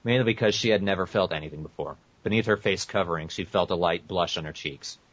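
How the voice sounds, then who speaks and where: A man's voice: sits low, fast talker, a bit bright. A man co-hosting a podcast, reading fan fiction aloud with casual asides and often tripping over his words. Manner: stumbles over words and corrects himself. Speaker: podcast co-host. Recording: muffled, echoey lo-fi podcast audio.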